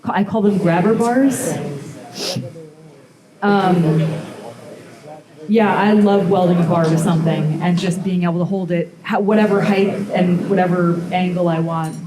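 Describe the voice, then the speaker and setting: A woman giving a live metalworking demonstration, talking casually with lots of fillers. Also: medium pitch. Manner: casual, rambling, many "um" and "like" fillers. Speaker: blacksmith demonstrator. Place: demo space with a live audience. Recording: echoey room with crowd sounds.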